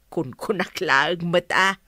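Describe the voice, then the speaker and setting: A woman's voice: fairly low pitch, very slow, bright, forceful tone, dry recording, clean studio sound, heavily acted. An actress playing a mature married mother in an Ilocano radio drama, with an Ilocano accent. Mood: concerned, wry. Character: married mature mother gossiping about family.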